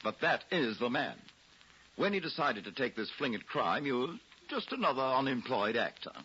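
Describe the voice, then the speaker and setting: Pompous, swooping radio drama critic. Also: male voice, medium pitch, very animated, normal speed, bright, forceful tone, breathy edge, muffled recording, exaggerated voice. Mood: self-righteous. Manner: florid, condescending, theatrical delivery. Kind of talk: 1940s radio drama acting. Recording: band-limited old radio broadcast.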